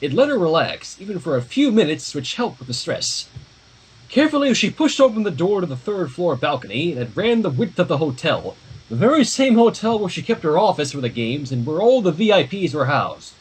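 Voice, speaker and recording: A man's voice: fairly high pitch, sing-song, brisk, slightly rough, poor audio. A man reading a story aloud informally on a livestream, dropping in casual asides. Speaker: livestream fan fiction reader.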